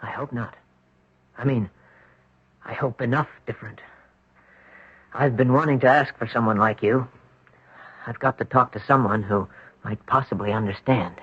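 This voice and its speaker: An actor playing a desperate, haunted hospital patient in a 1970s radio drama, speaking in a halting, grave and intense way. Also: male voice, medium pitch, steady tone, breathy edge, close to an everyday voice. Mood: guarded, pleading. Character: haunted, desperate male accident patient hiding true identity. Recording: muffled, echoey old radio broadcast.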